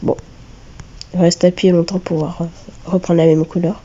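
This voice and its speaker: A woman with a cold giving a step-by-step drawing tutorial, talking hesitantly with many filler pauses. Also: on the low side, little pitch movement, mellow, dull audio, slight background noise. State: has a cold. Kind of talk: screen-recorded step-by-step tutorial.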